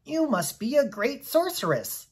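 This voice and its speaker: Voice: sits high, very animated, a little slow, slightly rough, voice pushed up, exaggerated voice. A performer voicing a rich, friendly Munchkin man, grave and courteous, in a storybook reading. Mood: friendly, impressed.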